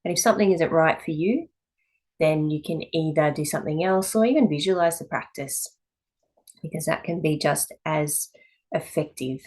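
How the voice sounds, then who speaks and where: A woman teaching yoga, giving calm, measured guided meditation instruction in short phrases with pauses between them. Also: deep voice.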